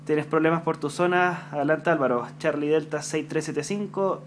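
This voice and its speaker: A man's voice: on the high side, normal speed, slight background noise. A man with a Chilean accent, chatting on amateur radio from home and giving call signs. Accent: Chilean Spanish. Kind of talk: ham radio chat. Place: home room at a radio desk.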